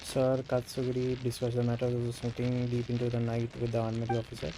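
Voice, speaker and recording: A man's voice: mid-range voice, completely flat delivery, fast, background noise. A man with an Indian accent livestreaming a video game in casual commentary, reading dialogue and switching between languages. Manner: switches between Hindi and English. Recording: game music over the voice. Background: loud game music.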